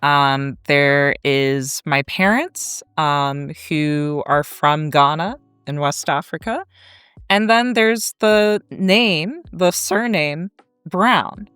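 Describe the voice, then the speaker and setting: American woman, 30s, academic interviewee. Low pitch, slow measured delivery, articulate and reflective. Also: fairly bright tone, clear, resonant tone, dry recording. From Kansas, United States. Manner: articulate, deliberate, explanatory. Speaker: academic guest, historian. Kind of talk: reflective conversational storytelling.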